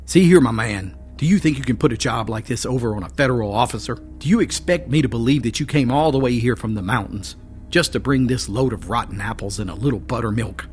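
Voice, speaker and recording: A man voicing a commanding male federal officer who speaks in a clipped, quietly threatening way. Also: mid-range voice, fast talker, faint music behind, voice pushed up, natural voice. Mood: indignant. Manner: clipped, authoritative, coolly threatening.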